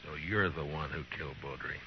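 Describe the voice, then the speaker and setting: An American actor playing a terse, firm, weary frontier marshal in a 1950s radio western. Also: male voice, extremely low pitch, a little fast, husky, exaggerated voice. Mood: challenging, hard. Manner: terse, dry, authoritative. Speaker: lawman lead in a radio western. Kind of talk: 1950s radio drama acting. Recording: dull, noisy 1950s radio recording.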